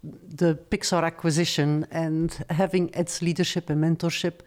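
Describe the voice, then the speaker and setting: Middle-aged woman, low voice. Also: flat delivery, a little mellow. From Belgium. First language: not English. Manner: measured, reflective storytelling. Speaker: guest, former studio executive. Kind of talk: interview conversation.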